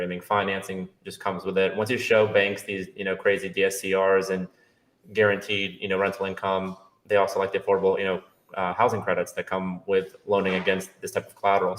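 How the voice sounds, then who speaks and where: Young male guest from Brazil, low and even delivery, relaxed and practical. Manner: relaxed, matter-of-fact. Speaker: guest, real estate investor. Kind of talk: casual interview answers.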